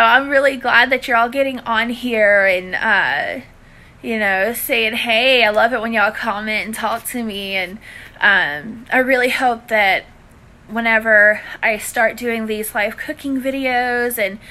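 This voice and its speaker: A woman's voice: fairly high pitch, bright, forceful tone. A woman in her thirties with a Southern US accent, chatting informally to live viewers indoors on a phone held at arm's length, using friendly endearments. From Louisiana, southern US. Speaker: cooking and budgeting live-stream host. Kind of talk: casual chat to live viewers. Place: indoors in a living room.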